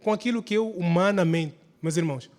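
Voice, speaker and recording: A man's voice: high voice, brisk, a little mellow. A man preaching a church sermon, exhorting with repeated phrases and rhetorical questions. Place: large church hall during a service. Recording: reverberant hall sound.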